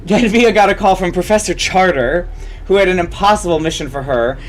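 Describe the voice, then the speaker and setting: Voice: medium pitch, a little fast. A host reading a comedic story aloud theatrically, with asides and self-corrections. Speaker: fan fiction reader and host. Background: music.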